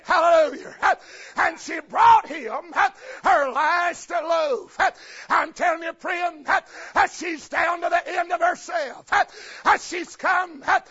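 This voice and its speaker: A man preaching a chanted revival sermon in a shouted cadence, with an uh after every phrase. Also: very high voice, a little slow, some chatter behind. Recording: lo-fi recording with faint congregation. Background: congregation responding.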